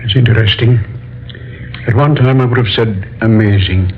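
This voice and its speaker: A man's voice: fairly low pitch, normal speed, a little hiss or hum, lightly acted. An actor playing a weary elderly king who rules a world, speaking with stately, resigned 1940s radio delivery. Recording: tinny lo-fi old radio broadcast.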